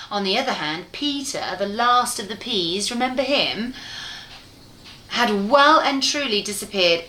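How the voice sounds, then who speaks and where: A woman reading a children's bedtime story aloud in an expressive, playful way, speaking directly to her listener. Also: a little high. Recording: roomy, echoey room sound.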